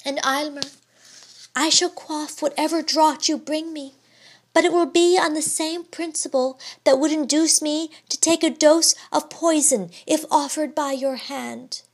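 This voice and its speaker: A woman's voice: high voice, fairly even intonation, a little slow, pitched above the performer's own voice. A woman reading the part of a devoted young wife in a raised voice, with formal old-fashioned speech. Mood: calm. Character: devoted young wife, firm yet yielding.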